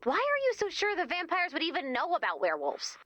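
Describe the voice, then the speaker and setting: A chirpy, quirky female commander from a video game. Her voice is very high, bright and animated, with a playful, doting way of talking. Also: fast, no echo, muffled recording, full character voice. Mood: upbeat, wry.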